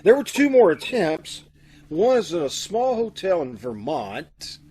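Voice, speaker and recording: Man acting a flustered bridegroom, swooping pitch. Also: lively intonation, unhurried, a little mellow, breathy edge, full character voice. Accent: American. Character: flustered, cowardly, doting young bridegroom. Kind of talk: old-time radio comedy mystery acting.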